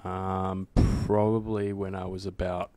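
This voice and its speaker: A young Australian man chatting in a relaxed, rambling way on a church panel into a handheld mic. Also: sits low, unhurried, slight background noise. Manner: relaxed, rambling, says sort of often. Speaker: panel guest. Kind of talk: casual panel chat. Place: church studio set, indoors. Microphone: handheld microphone.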